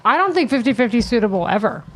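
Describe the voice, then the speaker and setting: A woman's voice: on the high side, big pitch swings, racing, fairly bright tone. Chatty young woman, slight room hiss. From born in Croatia, grew up in Georgia, USA. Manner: chatty, laughs often, trails off into fillers. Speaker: guest. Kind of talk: casual podcast chat.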